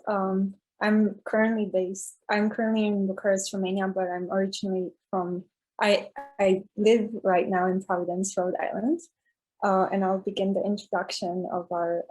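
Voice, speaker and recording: Female presenter, medium pitch, explanatory, slightly hesitant, video call. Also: little pitch movement, normal speed, darkish tone, low-quality recording. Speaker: workshop presenter. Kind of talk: introducing a workshop.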